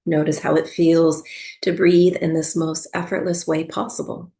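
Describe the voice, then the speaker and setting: A woman with a fairly low voice and a strong creak leads a guided meditation as a yoga teacher. Her delivery is soft and soothing, with unhurried phrases and long pauses that suit winding down for sleep. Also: little pitch movement.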